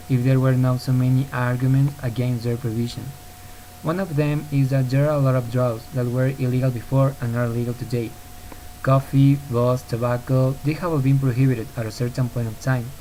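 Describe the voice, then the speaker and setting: Earnest young man from Ecuador, mellow. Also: mid-range voice, poor audio. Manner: earnest, steady reading with occasional slips and restarts. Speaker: podcaster. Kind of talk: read-aloud argumentative podcast. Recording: faint hiss on a home recording.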